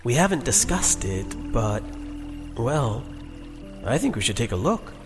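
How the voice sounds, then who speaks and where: Fantasy drama: earnest male adventurer, done by a man, mid-pitched and highly animated. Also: measured pace, music in the background. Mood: tentative. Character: earnest, curious male elf-speaking adventurer brother.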